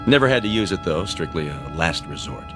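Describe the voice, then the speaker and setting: An armed security officer on a plane. His voice is on the low side and moves freely, reassuring but officially firm. Music runs behind this radio-drama performance. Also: big pitch swings, fairly quick. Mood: casual, placating. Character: armed male airline security officer, placating, authoritative. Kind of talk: vintage radio drama acting.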